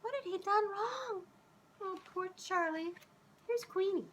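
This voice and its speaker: A middle-aged woman reading a children's storybook aloud in an expressive, sing-song way, as if speaking directly to young listeners. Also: very high voice, a little slow, slight background noise. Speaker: children's author reading her own book aloud. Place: small living room, on a couch indoors.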